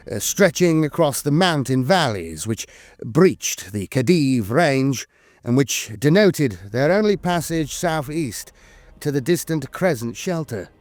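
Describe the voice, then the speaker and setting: A man's voice: a little high, darkish tone, dry recording. A man reading scripted war lore as a narrator, in a formal, dramatic storytelling manner with the occasional hesitation.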